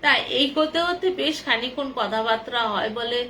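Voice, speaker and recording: High bright-voiced woman storyteller. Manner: halting, conversational, repeating herself. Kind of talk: informal spoken storytelling, acting out dialogue. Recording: clear background noise.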